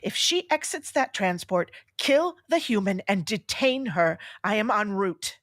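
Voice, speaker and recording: A cold villainous commander in a fiction podcast. Her mid-pitched voice is clipped and imperious. The sound is very dry. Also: very animated, unhurried, fairly bright tone, no room sound. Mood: cold, threatening. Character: cold, ruthless female commander giving orders. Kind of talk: audio drama acting.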